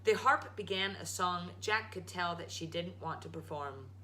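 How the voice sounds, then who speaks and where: A woman storyteller reading a children's story aloud in a dramatic, emphatic, expressive way. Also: on the low side, normal speed, slight background noise. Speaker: storyteller, show host.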